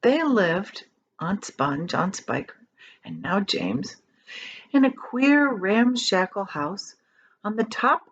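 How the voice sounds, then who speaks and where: A woman reading a children's storybook aloud as a teacher would, with dramatic emphasis and drawn-out key words. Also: medium pitch, highs cut off.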